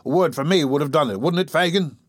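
A man's voice: fairly high pitch, quick pace, dry recording, studio quality, pitched above the performer's own voice, lightly acted. A man voicing a touchy, boastful, dim-witted young thief in a storybook, insistently pressing questions. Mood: indignant.

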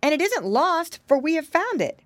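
A woman's voice: very animated, normal speed, no room sound. A woman doing a squealing little piggy boy. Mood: excited. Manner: squealing, wide-eyed, high childlike voice. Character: small excitable piggy boy, curious and anxious.

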